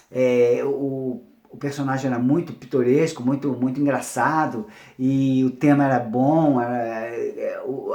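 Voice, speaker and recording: A Brazilian man with a medium-pitched voice, recorded in a roomy, echoey space. He talks like an informal lecturer telling history off the cuff, circling back over phrases and wandering into side notes as he looks for the right word. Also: mellow. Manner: digressive, searching for words, repeats phrases. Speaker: music producer presenting a series on soap opera soundtrack history.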